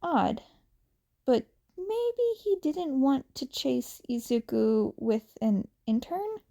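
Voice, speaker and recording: A woman's voice: high-pitched, very animated, slow, gravelly, mellow. A woman narrating a story from a script in a somewhat hesitant way, drawing out some words. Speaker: fan fiction reader.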